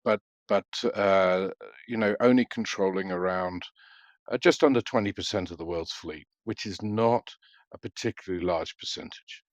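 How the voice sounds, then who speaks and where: A middle-aged man, an analyst answering interview questions in a measured, halting way with many fillers. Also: low voice, no echo, highs cut off. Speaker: freight market analyst guest.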